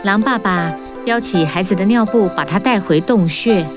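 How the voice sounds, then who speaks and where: A woman's voice: medium pitch, normal speed. A woman reading scripted narration as the storyteller of a children's tale. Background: music.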